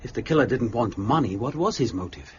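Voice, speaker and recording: An actor playing a detective's loyal, mild gentleman companion in a period mystery drama. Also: medium pitch, expressive, brisk, breathy edge, dull audio. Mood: curious, uneasy.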